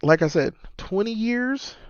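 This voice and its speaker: A man in his thirties with a slightly high voice rambles through a casual solo sports podcast, hammering home each point by saying it again. The audio is dull and lo-fi, with a dry, close sound and no room around it. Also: lively intonation, unhurried, no room sound. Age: 30s. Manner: emphatic, repeats points for stress. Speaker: podcast host and sports fan.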